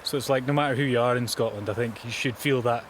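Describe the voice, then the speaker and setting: A man's voice: mid-range voice, steady tone, fast, slight background noise. A man speaking earnestly and thoughtfully as a language advocate.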